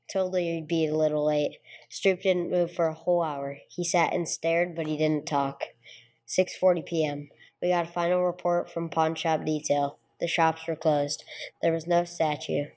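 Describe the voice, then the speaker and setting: A woman's voice: low voice, steady tone, normal speed, a little mellow, close to an everyday voice. A woman playing a terse, dogged police sergeant who narrates a case in a radio play, in a clipped, matter-of-fact way.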